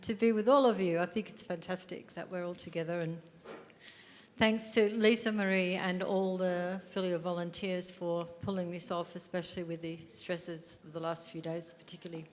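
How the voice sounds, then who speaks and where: A woman's voice: lowish voice, steady tone, moderate pace. An Australian woman, an activist panelist, introducing herself informally. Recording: echoey hall with noise.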